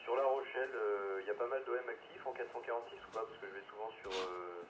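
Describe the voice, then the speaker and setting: Lowish-voiced man on echoey radio. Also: fast talker. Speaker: amateur radio operator. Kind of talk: casual radio group chat. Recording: echoey radio link, faint static.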